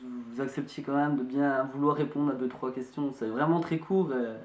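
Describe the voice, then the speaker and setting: A man's voice: mid-range voice, fairly even intonation, close to an everyday voice. Man acting as amateur investigator, hesitant, courteous, insistent, roomy echo. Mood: polite, insistent. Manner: polite, halting, hesitant. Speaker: amateur investigator presenting a video. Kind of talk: hesitant spoken phone inquiry. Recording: echoey room.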